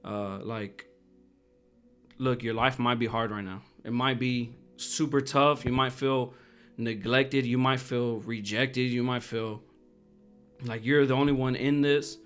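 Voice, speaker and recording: A man's voice: medium pitch, fairly even intonation, measured pace, fairly bright tone, clean tone, faint music behind. A man in his twenties co-hosting a podcast, talking casually and earnestly and often repeating his phrases. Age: 20s. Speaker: podcast co-host. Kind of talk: casual personal chat.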